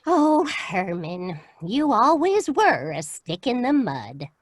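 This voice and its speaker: Radio horror: actress as genteel elderly vampire wife, high swooping voice, unhurried, cheerfully matter-of-fact about murder. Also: female voice, sing-song. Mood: menacing, fed up. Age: older. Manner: chatty, theatrical, matter-of-fact about killing. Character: sweet old lady turned vampire, blithely murderous wife. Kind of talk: 1940s-style radio horror acting.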